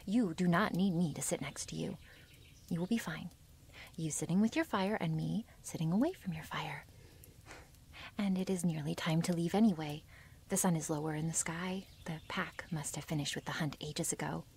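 Werewolf girl played by a woman, ASMR roleplay, intimate half-whisper, breathy, vocal fry, wary, possessive, halting formal phrasing. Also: fairly low pitch, darkish tone, slight background noise, close to an everyday voice. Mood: uneasy, guarded. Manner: halting, stiffly formal phrasing without contractions. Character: wary, possessive young female werewolf, tender yet menacing.

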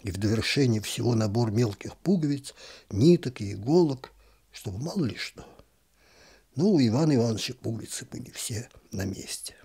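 Male narrator for a literary story, mellow and slightly husky, calm and expressive. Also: mid-range voice, moderate pace. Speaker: audiobook narrator.

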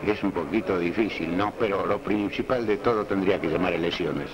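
An older man with an Argentine accent giving measured, hesitant answers in a casual interview on old news film. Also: deep voice. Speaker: passer-by interviewed. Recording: muffled 1970 archive sound, crowd chatter.

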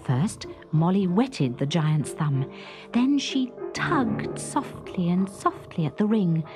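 A woman narrating a children's story in a dramatic, expressive, suspenseful storybook style. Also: fairly low pitch, slow, music in the background.

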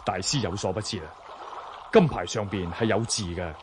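An actor playing a lordly, generous Chinese emperor in a radio drama, with a stately, commanding manner. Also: male voice, on the low side, normal speed, background noise, natural voice. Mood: generous, insistent. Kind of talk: Cantonese radio drama acting.

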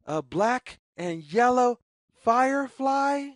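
A woman reading a children's picture book aloud as storyteller, with deliberate, sing-song phrasing. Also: on the high side, lively intonation, no echo, clean studio sound.

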